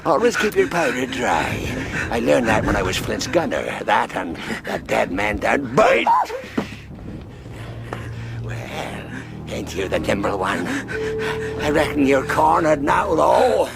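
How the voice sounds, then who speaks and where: A man's voice: medium pitch, expressive, slow, vocal fry, over loud music. A man playing a wounded, wily old pirate in a radio drama, taunting and menacing in salty seafaring dialect. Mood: mocking menace.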